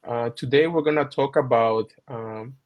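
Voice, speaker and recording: A man hosting a video call panel, speaking as a with many pauses and fillers. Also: medium pitch. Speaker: panel host, physician.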